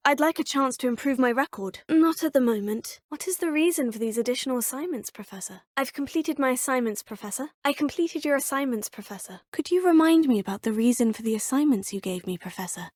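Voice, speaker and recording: A woman's voice: sits high, animated, dry recording, studio quality. A poised witch student. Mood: polite. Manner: polite, measured, proper phrasing. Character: poised young female witch student, polite and self-assured. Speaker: player character. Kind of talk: video game voice lines. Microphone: studio mic.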